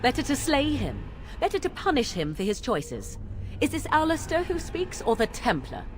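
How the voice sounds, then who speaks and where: A woman's voice: high-pitched, huge pitch swings, moderate pace, slight background noise. A cool, mocking young witch. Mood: scornful. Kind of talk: video game dialogue.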